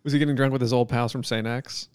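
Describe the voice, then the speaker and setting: A man's voice: mid-range voice, fairly even intonation, fast, a little mellow, clear, resonant tone, dry recording. Joking podcast host guy, 30s, mid voice, dry room. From Cincinnati area, USA. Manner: joking, teasing, easygoing. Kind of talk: casual chat.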